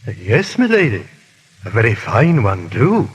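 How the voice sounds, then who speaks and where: A man playing a hesitant, deferential household servant with a husky voice in a 1930s radio drama. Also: mid-range voice, wildly swooping pitch, a little slow, heavily acted. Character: deferential old-fashioned household manservant. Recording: crackly, hissy 1930s radio recording.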